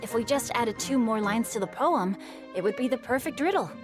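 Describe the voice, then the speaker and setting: A woman voicing a polite, bookish, gently sly young boy poet in a video game, with eloquent, formal, measured speech. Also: a little high, expressive, close to an everyday voice. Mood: thoughtful. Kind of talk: video game character acting. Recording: music behind.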